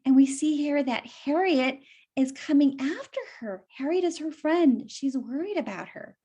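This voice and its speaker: Woman, high voice, children's read-aloud storytelling, simple explanations, questions to young listeners. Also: lively intonation, moderate pace, mellow.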